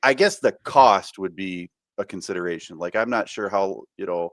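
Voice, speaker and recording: A man's voice: sits low, normal speed, no echo, studio quality. A man co-hosting a podcast in casual chat, hesitant and often repeating words as he thinks aloud. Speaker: podcast co-host.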